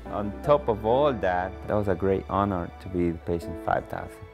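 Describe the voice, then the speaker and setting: A middle-aged man speaks in a very low voice with a Dominican Spanish accent. He gives a personal testimonial, with soft music behind him. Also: normal speed. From Dominican Republic. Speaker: cancer patient telling his story. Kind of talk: personal testimonial in a promotional documentary.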